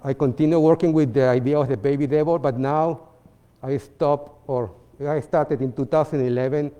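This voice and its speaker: A man from Peru with a Peruvian Spanish accent, presenting his artwork in a seminar talk, halting with fillers and restarts. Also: mid-range voice, steady tone, dark tone. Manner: halting, many fillers and restarts, non-native phrasing. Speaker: visiting artist giving a seminar talk.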